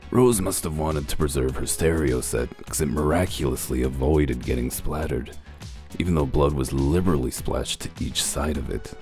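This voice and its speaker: A man playing a gruff, dry wolf detective who explains things in a deliberate, self-assured way in a comic dub. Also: extremely low pitch, darkish tone. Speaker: detective character and first-person narrator. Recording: music under the voice. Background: background music.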